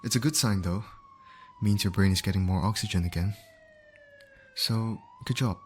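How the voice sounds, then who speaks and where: A man acts out a male medic in an audio roleplay, with a fairly low voice. He talks straight to his injured patient, steady and caring even under pressure. Some background noise and emergency ambience sit behind him. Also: normal speed, natural voice. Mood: soothing, concerned. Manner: reassuring, talking directly to an injured patient. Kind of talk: ASMR roleplay voice acting.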